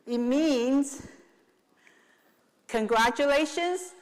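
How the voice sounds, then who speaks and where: A woman's voice: high voice, drawn-out words. A woman from Taiwan, an attorney hosting her firm's client event, giving a playful stage speech and getting the audience to repeat phrases after her. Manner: playful, leads the audience in call and response. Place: large event hall. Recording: long reverb of a large hall.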